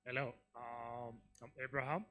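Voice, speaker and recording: A man, a developer attending an event, introducing himself in an understated, hesitant way, recorded quietly with noise around him. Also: medium pitch, level tone, normal speed. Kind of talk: brief self-introduction. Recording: quiet voice under noisy hum.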